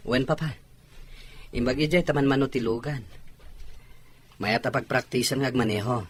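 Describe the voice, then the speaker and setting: A man's voice: mid-range voice, moderate pace, natural voice. Guy doing polite easygoing young son, audio drama, mid voice, lots of background noise. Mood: agreeable. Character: polite young man, considerate son of businessman. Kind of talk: radio drama dialogue. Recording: clearly noisy, outdoor ambience behind.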